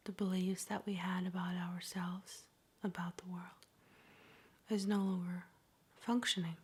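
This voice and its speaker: Breathy whispering woman, slow ASMR meditation. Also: medium pitch. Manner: slow, gentle, soothing delivery with long pauses. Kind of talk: soft-spoken whispered ASMR guided meditation.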